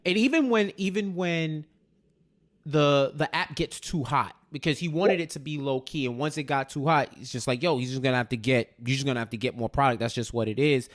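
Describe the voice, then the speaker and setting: A man's voice: mid-range voice, a little fast. A man co-hosting a casual TV recap discussion, speaking in a colloquial, slangy way and repeating phrases for stress. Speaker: podcast co-host.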